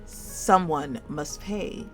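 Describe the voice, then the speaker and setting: A woman's voice: low-pitched, drawn-out words, a bit bright, full character voice, poor audio. A woman voicing a malicious, vengeful female water spirit from a game's campfire tale, screeching her words. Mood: vengeful malice. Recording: music behind the voice.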